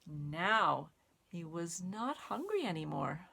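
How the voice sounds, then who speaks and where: A woman reading aloud to young children in simple, expressive, word-by-word phrases. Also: fairly low pitch, takes it slowly, gravelly, a little hiss or hum. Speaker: storytime reader and sign language teacher. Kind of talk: children's read-aloud and sign lesson.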